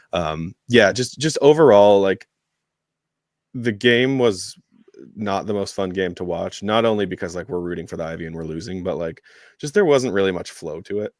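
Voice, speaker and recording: A man's voice: low-pitched, dry recording, clean studio sound. A man co-hosting a sports podcast in casual conversation, hedging his points and leaning on "like" as he talks. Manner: hedging, conversational, heavy use of "like". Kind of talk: casual soccer commentary chat.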